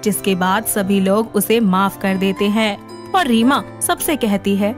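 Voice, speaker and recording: A woman's voice: mid-range voice, unhurried, soft background music. A woman reading scripted story narration as the narrator.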